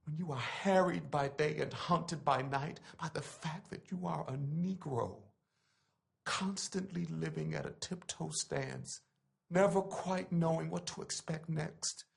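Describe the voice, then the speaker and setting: Man, slightly high pitch, dramatic recitation, oratorical delivery, emphatic phrasing, impassioned. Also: measured pace, vocal fry. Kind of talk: dramatic recitation of a written letter.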